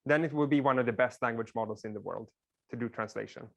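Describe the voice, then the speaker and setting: A man's voice: medium pitch, quick pace, creaky, a bit bright. A man whose first language is Swedish, giving a casual classroom lecture and explaining things with frequent "like" fillers.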